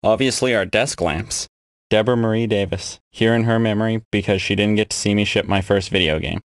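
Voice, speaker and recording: A man's voice: lowish voice, brisk, dry recording, studio quality. A man, a game programmer, giving a scripted personal message.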